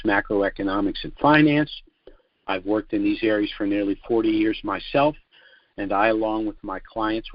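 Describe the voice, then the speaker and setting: An older man giving a formal, deliberate sales presentation as the host of a financial conference call. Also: fairly low pitch, no room sound. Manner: deliberate, formal, measured phrasing. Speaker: financial trust consultant hosting a conference call. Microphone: telephone conference call. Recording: muffled lo-fi conference call audio.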